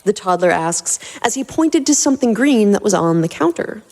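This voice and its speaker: A woman's voice: mid-range voice, very animated, moderate pace, darkish tone. A woman reading scripted story narration expressively as a podcast host.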